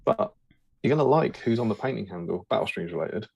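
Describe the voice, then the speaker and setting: A man hosts a casual live stream, rambling and joking as he goes. His voice sits low with a husky, gravelly grain. He fills his talk with ums and quick asides. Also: animated, a little mellow, dry recording, lo-fi sound. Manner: jokey, rambling, full of fillers. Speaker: host.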